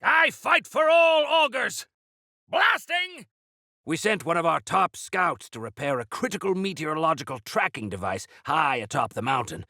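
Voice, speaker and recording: An actor playing a proud, stalwart older leader of mountain folk in a video game, with a rough gravelly voice and theatrical, old-fashioned formal phrasing. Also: male voice, sits high, sing-song, moderate pace, sharp tone, no room sound, studio quality, heavily acted. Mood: fierce, rallying. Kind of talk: video game character acting.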